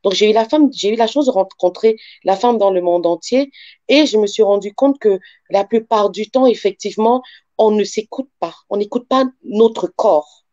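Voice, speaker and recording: A woman's voice: medium pitch, moderate pace, dark tone, no echo, lo-fi sound. A woman from France with African roots, speaking as a guest sophrologist in an interview and explaining step by step with examples and rephrasing. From born African, grew up in France. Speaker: guest, sophrologist and life coach.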